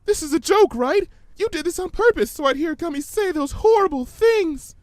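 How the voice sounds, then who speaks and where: A man voicing a timid, panicky female pony who speaks in a halting, anxious whimper in a story reading. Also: very high voice, expressive, a little mellow, no room sound, pitched above the performer's own voice. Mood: anxious, accusing.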